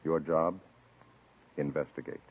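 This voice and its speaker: Low-voiced vintage radio announcer, male. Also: steady tone, unhurried. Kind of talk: 1950s radio announcing, scripted narration and sponsor copy. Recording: narrow-band vintage radio with background music.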